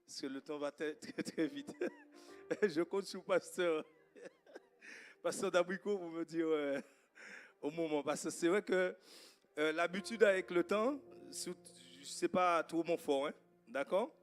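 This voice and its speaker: A man with a Guadeloupean accent and Creole as his first language speaks to a church congregation before his sermon. His voice sits a little high for a man, and he talks in halting bursts full of fillers and restarts. Soft music plays faintly behind him. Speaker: guest preacher. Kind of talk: informal welcome before a sermon. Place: church service.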